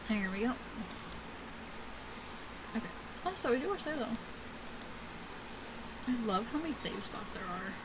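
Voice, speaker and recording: A woman with a fairly high voice hosts a casual let's play. She reads on-screen story text haltingly, tripping over words and restarting. The home recording has clear background noise. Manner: halting, stumbles while reading aloud. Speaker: gaming video host.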